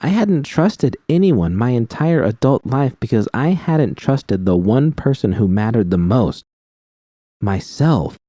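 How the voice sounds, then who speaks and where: A man narrating first-person fiction in a steady, plain reading voice. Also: mid-range voice, measured pace, dry recording, studio quality. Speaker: audiobook reader.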